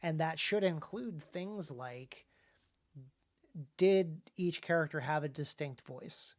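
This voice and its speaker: A man hosting a casual discussion about craft, speaking haltingly and often repeating words as he thinks. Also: a little high. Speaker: podcast host and author.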